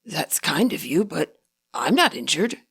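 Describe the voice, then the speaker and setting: A woman voicing a gentle old man, a grandfather in his nineties, speaking in a mild, measured, reflective way for a story. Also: sits low, very animated, breathy edge, pitched below the performer's own voice, heavily acted. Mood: mild, wry. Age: 90s as played.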